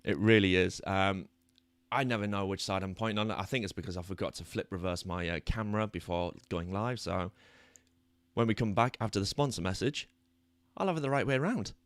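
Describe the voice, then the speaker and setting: A British man hosting a soccer podcast in a chatty, self-deprecating way, his voice a little croaky. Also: sits low, fairly quick, no room sound. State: slightly croaky voice. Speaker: podcast host. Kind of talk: casual chat. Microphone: new microphone.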